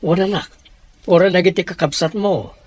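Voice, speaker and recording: A man voicing a caring middle-aged father who gently advises his daughter. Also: high-pitched, moderate pace. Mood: gentle.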